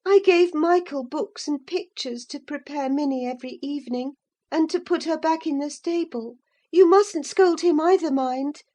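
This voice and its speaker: A woman's voice: sits high, moderate pace, dark tone, pitched above the performer's own voice, close to an everyday voice. A woman reading aloud and voicing an impulsive teenage girl who pleads breathlessly and earnestly. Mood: confessing.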